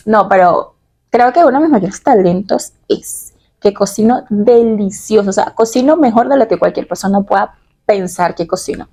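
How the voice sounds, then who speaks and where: A woman with a Caracas Venezuelan accent talks casually, as if confiding in her followers. Her voice is mellow and relaxed, with plenty of vocal fry at a medium pitch. She is expressive and giggly, drawing out words for emphasis. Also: moderate pace. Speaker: vlogger answering personal questions. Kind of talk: casual, chatty personal vlog.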